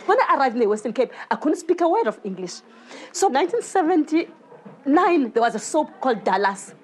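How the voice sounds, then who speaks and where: A middle-aged woman from Africa, earnestly telling her story in a casual interview, often halting and restarting. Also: high-pitched, sing-song, slight background noise. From South Africa. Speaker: local baker and guest.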